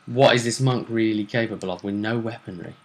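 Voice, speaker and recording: A man's voice: lowish voice, fairly quick. Man thinking aloud in casual discussion. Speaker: host of a tabletop role-play vidcast. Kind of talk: casual discussion of a fantasy tournament.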